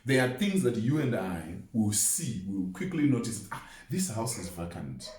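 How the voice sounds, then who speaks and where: A man with a lively, swinging intonation talks in a conversational, motivational way, explaining through everyday examples. He is recorded at some distance in an echoey room. Music plays underneath. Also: lowish voice, normal speed. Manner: illustrates points with everyday examples and imagined quotes. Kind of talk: conversational motivational talk on teamwork.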